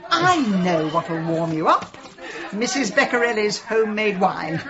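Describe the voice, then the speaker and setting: An actress playing a brisk, sharp-tongued middle-aged British woman sleuth in a radio comedy-drama, dry and bossy. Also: mid-range voice, lively intonation, highs cut off, a crowd in the background. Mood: dry, wry.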